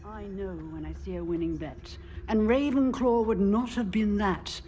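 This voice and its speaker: A woman's voice: medium pitch, normal speed, noisy background, natural voice. A woman playing a wry staff member at a wizard school in a video game. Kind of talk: video game background dialogue.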